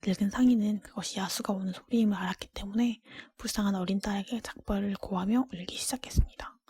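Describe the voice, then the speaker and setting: A woman whispers a fairy tale in an ASMR style. Her voice is soft and dark-toned, almost entirely breath. She narrates gently, keeping everything hushed. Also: medium pitch, steady tone, fast, husky. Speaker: storybook reader.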